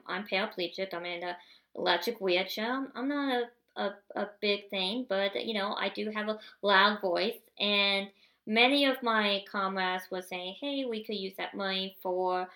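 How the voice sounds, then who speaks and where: A woman's voice: medium pitch, pushed and bright. A woman hosting a podcast, telling a personal story in a conversational, self-correcting way that builds to indignant emphasis. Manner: conversational, with repeated restarts, rising to indignant emphasis. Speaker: podcast host. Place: at home, seated in a wheelchair.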